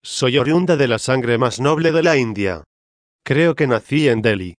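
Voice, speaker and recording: Un hombre que pone voz al espíritu de una reina difunta, orgullosa y desdeñosa, que responde con altivez. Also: male voice, mid-range voice, a little slow, no room sound, clean studio sound, natural voice. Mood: haughty. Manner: disdainful, imperious. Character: haughty dead queen's spirit, woman, proud, scornful.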